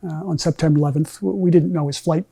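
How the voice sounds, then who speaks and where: A middle-aged man giving a measured personal recollection in a documentary interview. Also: on the high side, steady tone, dark tone, breathy edge. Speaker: bereaved brother interviewed. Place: large meeting room with empty chairs.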